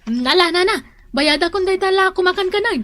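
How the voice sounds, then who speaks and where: Woman acting boastful wife, Ilocano accent, high sharp voice, cheerful, animated, comedic radio drama. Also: a little fast, no room sound. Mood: cheerful, breezy. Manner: animated, bragging. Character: boastful cheerful wife showing off Christmas decorations.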